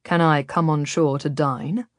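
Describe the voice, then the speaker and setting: A woman's voice: low voice, fairly even intonation, a little slow, no room sound, clean studio sound. A woman as a confident, mocking galley commander. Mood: inviting. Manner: abrupt, teasing, tempting.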